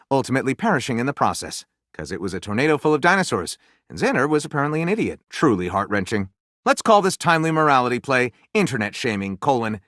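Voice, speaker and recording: A theatrical video game storyteller with a highish, widely swooping voice. He narrates in a mock-dramatic, literary way and adds eager comic commentary. The sound is dry and close. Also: a bit bright, no room sound. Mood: grandiose. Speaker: video game character voice actor.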